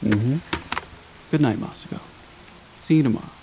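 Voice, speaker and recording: An actor playing a gentle, soft-spoken older grandfather in an animated comic drama, talking without hurry. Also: mid-range voice, normal speed, noisy background, natural voice. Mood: warm, relaxed. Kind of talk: animated motion-comic voice acting.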